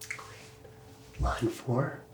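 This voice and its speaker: A man whispering gently and reassuringly in a breathy voice, playing a doctor in an ASMR medical roleplay. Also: very slow, a little hiss or hum. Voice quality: breathy, mostly unvoiced whisper.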